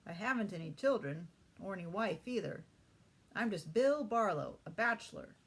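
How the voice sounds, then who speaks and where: A woman voicing a big, jovial, blunt man with a hearty booming delivery in a storybook. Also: expressive, moderate pace, slightly rough.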